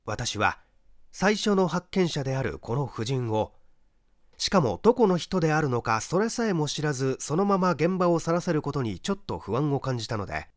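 Expressive male mystery narrator, widely varied pitch. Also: moderate pace, no echo, clean studio sound. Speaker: story reader, stage actor. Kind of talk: dramatic audiobook narration of a mystery.

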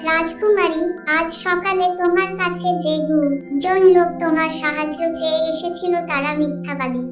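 Woman voicing an earnest high-pitched talking swan. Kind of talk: children's fairy tale cartoon dub. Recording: roomy, distant voice under loud music.

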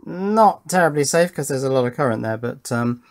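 A middle-aged man talks casually through a hands-on test at a workbench. His voice sits at a medium pitch. He thinks aloud in an informal, self-deprecating manner, as a hobbyist presenter would. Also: expressive, moderate pace. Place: indoors at an electronics workbench.

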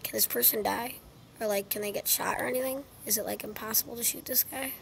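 A woman's voice: a little high, steady tone, fast talker, gravelly. A young woman giving casual gameplay commentary, muttering to herself as she records on a phone. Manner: muttering to self. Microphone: phone screen recorder microphone. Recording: faint background noise, phone recording.

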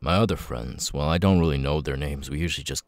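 A man playing a nervous, grateful young outcast with vocal tics in an ASMR roleplay, speaking haltingly and repeating words. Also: no echo, studio quality. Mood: hesitant, weary. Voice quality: very deep voice with stuttering, sudden high-pitched tic outbursts.

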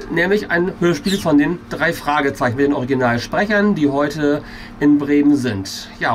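A man in his forties with a German accent, vlogging casually to a camera at arm's length in a small restaurant booth. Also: fairly high pitch. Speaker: family vlogger. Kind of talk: casual vlog chat to camera. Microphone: camera held at arm's length.

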